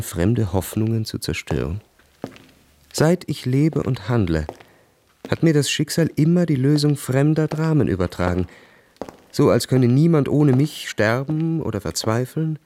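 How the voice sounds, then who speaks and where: An actor playing a cynical, self-possessed young aristocratic officer, narrating and speaking in a literary radio drama with a measured, detached manner. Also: male voice, mid-range voice, lively intonation, warm tone, slight background noise. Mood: detached, reflective.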